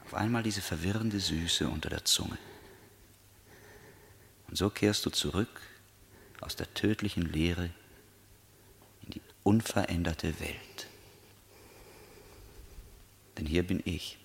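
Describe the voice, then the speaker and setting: An actor playing a haunting, relentless inner voice in a radio drama, gravelly, solemn and poetic in delivery. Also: male voice, sits low, slight background noise. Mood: hushed, ominous. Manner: solemn, deliberate, poetic declamation. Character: haunting male inner voice of resistance, relentless, intimate, menacing.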